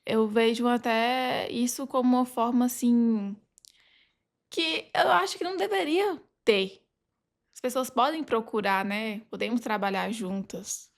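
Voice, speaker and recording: Higher-voiced Brazilian woman telling anecdotes. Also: measured pace, gravelly. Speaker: podcast guest, legal advisor. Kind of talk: casual anecdotal conversation.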